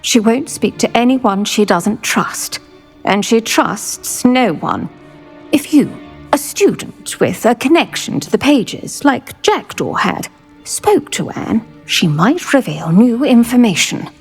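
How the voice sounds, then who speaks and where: An actress playing an earnest, retired British investigator in a video game, measured and articulate in manner. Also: female voice, a little high, sing-song, breathy edge, faint music behind, exaggerated voice. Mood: earnest, concerned. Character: earnest, keen retired female investigator, older. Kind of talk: video game character acting.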